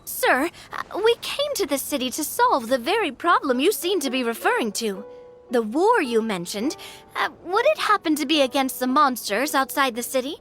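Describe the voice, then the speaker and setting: Very high, lively, cheeky young adventurer. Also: female voice, moderate pace, soft background music. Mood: earnest, curious. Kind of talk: video game character acting.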